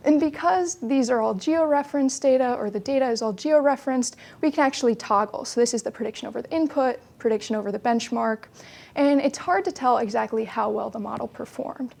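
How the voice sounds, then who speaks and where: High-pitched young woman presenting, creaky edges. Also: brisk, darkish tone. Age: young adult. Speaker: student research presenter. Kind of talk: explanatory research presentation.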